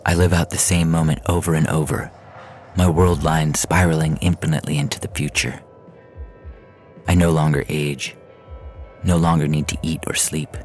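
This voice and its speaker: A man reading a scripted science fiction story in the first person, in a measured, literary storytelling manner. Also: rumbling low, level tone. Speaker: fiction podcast narrator. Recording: music bed under the reading. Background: music.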